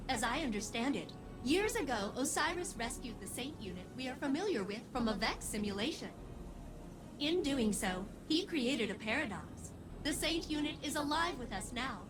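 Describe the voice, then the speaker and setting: A woman voicing a polite, chipper ship artificial intelligence in a video game, with formal, precise, sing-song phrasing. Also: sits high. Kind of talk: video game dialogue acting.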